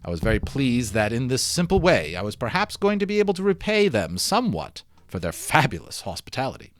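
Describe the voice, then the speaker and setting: A man's voice: mid-range voice, wildly swooping pitch, fairly quick, no echo. A man giving a dramatic storybook read-aloud of a children's novel, with theatrical, emphatic phrasing.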